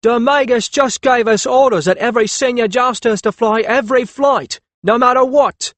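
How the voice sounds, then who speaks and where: Man playing an angry shouting warrior, pitched high. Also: normal speed, no echo. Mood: outraged. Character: angry male senior warrior shouting protest.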